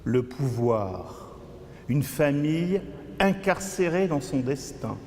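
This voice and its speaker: A man performing avant-garde spoken-word poetry in a declamatory way, swinging between low muttered phrases and high, drawn-out cries. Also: sing-song, darkish tone. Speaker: poet performing own work. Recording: long cavernous reverb with background noise.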